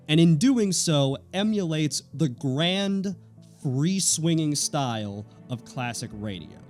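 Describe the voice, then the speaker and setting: A young adult man giving scripted narration as the writer and creator introducing his own audio drama series. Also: a little high. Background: music.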